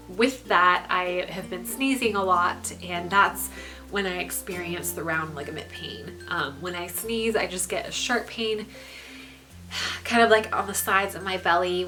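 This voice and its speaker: A young woman with a stuffy, congested voice giving a candid pregnancy update, pausing often with ums. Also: lowish voice, rough recording. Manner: frequent ums, relaxed and candid. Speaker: pregnancy vlogger. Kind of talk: casual vlog update.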